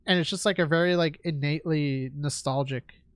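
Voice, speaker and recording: A young man streaming a game, talking in a halting, reflective way with lots of fillers, his nose stuffed up from congestion. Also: on the high side, fairly even intonation, clear, resonant tone, dry recording. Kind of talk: casual rambling stream chat. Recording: game music loud behind voice. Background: game music.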